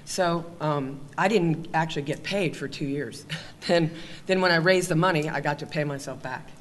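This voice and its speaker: An older woman on a panel, telling a story in a halting way with many fillers, on a table microphone in a meeting room. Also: low-pitched, in a large hall. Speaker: panelist, arts administrator. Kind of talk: casual anecdote on a panel.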